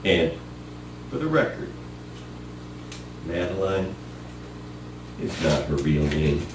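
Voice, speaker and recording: Male stage reader, unhurried dramatic phrasing, recorded far from the mic in an echoey room with background noise. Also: low-pitched, very animated. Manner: measured, broken into short phrases. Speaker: stage performer. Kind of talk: slow dramatic spoken-word reading. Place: small gallery cabaret. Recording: distant roomy live recording, noisy hum.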